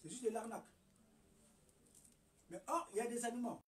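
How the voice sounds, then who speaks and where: High-voiced man lecturing emphatically. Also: normal speed, distant mic. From Republic of the Congo. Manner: emphatic, hectoring, rhetorical questions, stammered restarts. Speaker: herbal remedy promoter. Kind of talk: lecturing monologue.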